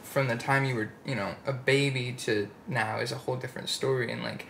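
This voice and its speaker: A young man in his twenties hosting a podcast, asking relaxed, probing follow-up questions in a casual chat on a living room couch, into a studio mic on a stand. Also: mid-range voice, fairly quick, creaky, echoey room, slight background noise. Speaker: podcast host.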